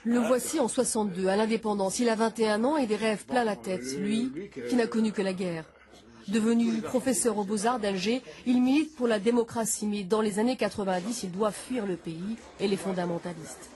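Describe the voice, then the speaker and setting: A woman narrating a news report in a measured, phrase-by-phrase script. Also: medium pitch, crowd noise.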